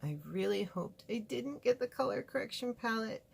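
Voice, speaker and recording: Chatty woman with a gravelly creak. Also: a little high, expressive, moderate pace. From California, USA. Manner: chatty, frank and rambling, with asides. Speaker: beauty vlogger reviewing makeup. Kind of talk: casual product review chat. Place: home studio with a fireplace.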